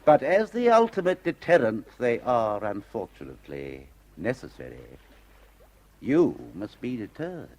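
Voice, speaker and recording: Suave villain with swooping delivery. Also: male voice, mid-range voice, sing-song, a little slow, darkish tone. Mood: menacing. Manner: urbane, patronising, old-fashioned upper-class phrasing. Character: suave, condescending male villain, ruthless and money-minded. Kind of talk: radio drama acting.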